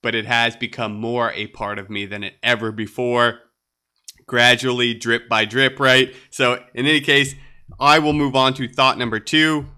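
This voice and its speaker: Stuffy-nosed guy hosting his podcast, chatty and upbeat, really bright sharp tone. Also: medium pitch, level tone, moderate pace, smooth. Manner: upbeat and chatty, laughs lightly. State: nasal, congested. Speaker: podcast host. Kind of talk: solo podcast monologue.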